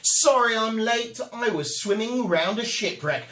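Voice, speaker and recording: A man's voice: sits high, lively intonation, unhurried, sharp tone, echoey room, a little hiss or hum, voice pushed up, heavily acted. A man voicing a small young male fish in a children's storybook, telling tall tales in an earnest, dramatic way. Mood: apologetic, excited. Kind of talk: children's storybook read-aloud.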